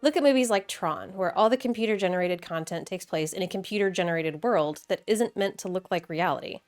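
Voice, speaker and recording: A woman's voice: on the low side, brisk, soft background music. A woman hosting a video essay in a casual, conversational and enthusiastic way, with wry asides.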